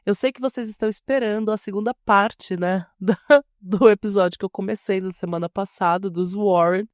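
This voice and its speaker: A nasal, congested woman chats as a podcast co-host while nursing a cold and a sore throat. She jokes and laughs freely. Her voice sits at an ordinary pitch in a dry, close-miked recording. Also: moderate pace, dry recording. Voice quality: nasal, stuffy-nosed. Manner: joking, laughs often. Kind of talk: casual chat.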